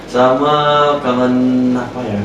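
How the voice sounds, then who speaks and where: A man's voice: mid-range voice, fairly even intonation, a little slow, dull audio. A young man in his twenties from Manado, chatting as an actor guest in an interview, easygoing and hesitant with many fillers. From Manado, Indonesia. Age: 20s. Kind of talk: casual interview chat. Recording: roomy echo from far off, noise behind.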